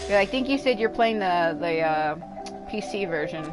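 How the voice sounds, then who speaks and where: A woman's voice: mid-range voice, animated, moderate pace. Woman livestreamer with music playing behind. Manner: blunt, frequent swearing; rambling, complaining. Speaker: livestreamer playing a video game. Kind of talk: casual gameplay commentary.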